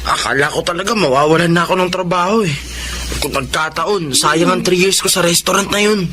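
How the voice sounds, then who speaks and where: Man acting a high-voiced, earnest young Filipino waiter. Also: brisk, background noise, close to an everyday voice. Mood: earnest, caring. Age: young adult. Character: humble, earnest young waiter, devoted older brother. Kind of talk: Filipino radio drama acting.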